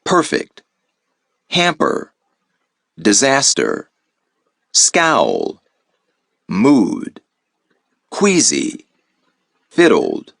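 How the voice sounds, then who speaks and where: A man teacher introducing a reading lesson to young students in a slow, deliberate, instructional way. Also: medium pitch, wildly swooping pitch, vocal fry, a bit bright, dry recording, clean studio sound.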